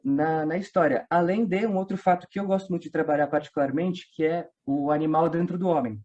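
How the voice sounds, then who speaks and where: A man's voice: fairly high pitch, fairly quick, dull audio. A Brazilian man chatting casually as an interview guest, telling stories in slangy speech and acting out what people said. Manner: animated storytelling, acting out quoted remarks in slangy speech. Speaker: guest, audio drama writer and voice-dubbing worker.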